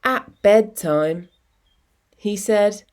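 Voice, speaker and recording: A woman with a medium-pitched voice reads a picture book to young children. She takes it very slowly, leaving dramatic pauses between short phrases. Her delivery is expressive and deliberate, in the manner of storytime. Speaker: storytime reader.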